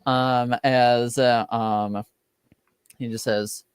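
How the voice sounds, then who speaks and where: A man narrating a tabletop roleplaying game as game master, in a halting, deliberate, wordy way. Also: mid-range voice, little pitch movement, clear, resonant tone, no echo, studio quality.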